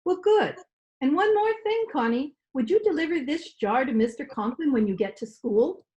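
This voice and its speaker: Radio comedy role: dotty, talkative older landlady played by a woman, highish pitch, swooping intonation. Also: expressive, normal speed, a little mellow. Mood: chatty, earnest. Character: absent-minded, chatty older landlady. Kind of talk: old-time radio comedy acting. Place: recorded remotely at home.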